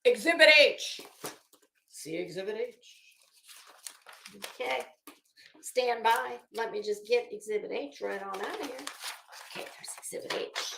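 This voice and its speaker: A woman's voice: fairly high pitch, animated, a little slow, gravelly, long pauses, noisy background. A woman reading documents aloud dramatically, breaking off into sarcastic, brash, profane commentary as an online host. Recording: echoey room.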